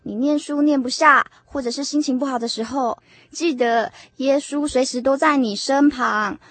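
An actress playing a gentle, caring young girlfriend in a radio drama, speaking in a consoling, measured way. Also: high-pitched, steady tone, dry recording. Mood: tender reassurance. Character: gentle, caring high-school girlfriend, devout and reassuring.

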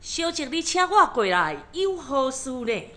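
Measured, gentle young matron. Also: female voice, sits high, very animated, heavily acted. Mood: composed, polite. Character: gentle, reserved married sister-in-law. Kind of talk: Taiwanese opera radio drama acting.

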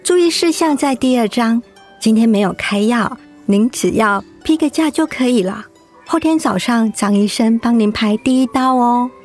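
Actress as a high-voiced, efficient nurse. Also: female voice, moderate pace, darkish tone, faint music behind, lightly acted. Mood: polite, businesslike. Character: efficient polite female hospital nurse. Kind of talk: radio drama acting.

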